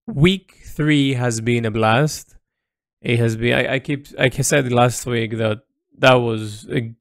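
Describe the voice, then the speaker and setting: A man's voice: medium pitch, gravelly, dry recording, studio quality. A man recording a reflective, unscripted audio log as an artist, thinking aloud hesitantly and often repeating words and phrases.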